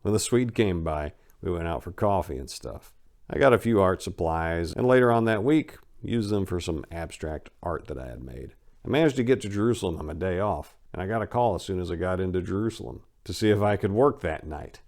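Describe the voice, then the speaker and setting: A man's voice: sits low, animated, a little fast, a little mellow. A man from the United States giving a dramatic first-person reading of a memoir in an irreverent, gritty storytelling manner. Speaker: audiobook narrator.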